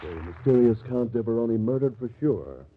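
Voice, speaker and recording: A man's voice: medium pitch, expressive, moderate pace, slight background noise. A man narrating a 1950s radio mystery as announcer, dramatic and suspenseful. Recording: tinny, narrow old radio recording.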